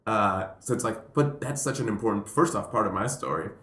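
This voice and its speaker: A man from Puerto Rico, a comedian telling stories in a rambling, animated way on a podcast. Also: fairly low pitch, a little fast. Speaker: comedian and guest. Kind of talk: casual podcast chat. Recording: roomy echo.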